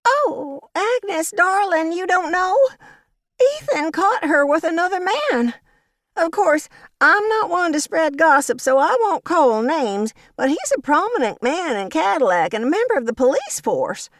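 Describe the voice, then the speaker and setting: A shrill, simpering old church gossip. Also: female voice, very animated, unhurried, no room sound, clean studio sound, heavily acted. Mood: simpering, fake-tearful. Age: older. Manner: shrill, high, simpering and theatrical. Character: gossipy, sanctimonious old woman, falsely tearful.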